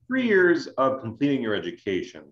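A man explaining things as an adviser in an online information session, in a measured, conversational way with check-in questions. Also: medium pitch, wildly swooping pitch. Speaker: scholarship adviser, presenter. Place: indoors on a video call. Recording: roomy, dull video-call audio.

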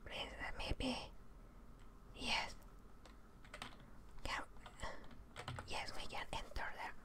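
A young woman giving whispered, breathy ASMR gameplay commentary up close to a studio microphone. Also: slow, pushed and bright. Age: young adult. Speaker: gaming streamer. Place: indoors at a desk, close up. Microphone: large studio microphone up close, headset worn.

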